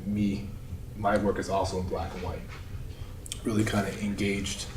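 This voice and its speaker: Lowish-voiced, halting man moderating, echoey. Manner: halting, roundabout. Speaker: panel moderator, art teacher. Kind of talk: moderating a panel discussion. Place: gallery room, picked up from a distance. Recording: echoey room, distant mic, background noise.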